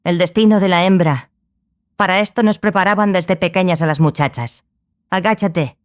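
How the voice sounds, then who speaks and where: A stern, scholarly sorceress played by a woman tells her story in a fantasy audiobook. She is grave and obsessive, with a measured delivery and deliberate pauses. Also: fairly low pitch, natural voice. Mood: wistful, bitter. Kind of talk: dramatized audiobook dialogue.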